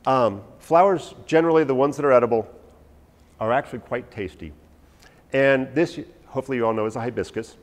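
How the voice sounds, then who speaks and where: Man, forties, herbalist giving a talk, anecdotal, animated, long hall reverb. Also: mid-range voice, fairly quick. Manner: animated, anecdotal, self-deprecating, gesturing while talking. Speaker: herbalist lecturer. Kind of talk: informal talk to a live audience. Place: large hall with a stage, indoors. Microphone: no visible mic, far camera.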